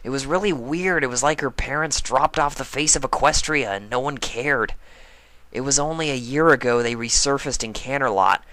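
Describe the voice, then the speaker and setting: A man's voice: medium pitch, moderate pace, bright, forceful tone, lightly acted. A man voicing a brash, confident young female pegasus athlete in a cartoon fan story, talking casually and directly. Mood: frank, concerned.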